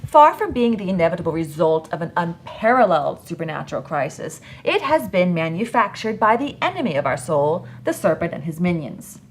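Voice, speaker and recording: A woman's voice: lowish voice, big pitch swings, a little fast, hardly any pauses, slight background noise. A woman hosting a podcast, delivering a scripted dramatic monologue in a theatrical, sardonic, emphatic manner. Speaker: podcast host. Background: music bed.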